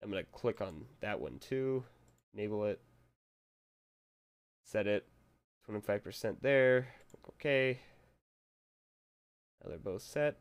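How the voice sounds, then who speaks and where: A young man talks through a screen tutorial in a relaxed, rambling way. His voice sits fairly low and is a little hoarse from a cold. He chuckles to himself now and then. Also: slow pace, long pauses. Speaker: tutorial maker. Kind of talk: casual screen-recorded tutorial.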